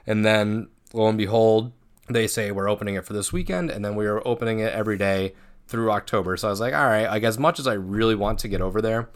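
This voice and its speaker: Low-voiced man in casual podcast chat. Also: quick pace. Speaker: co-host of a horror attraction fan podcast.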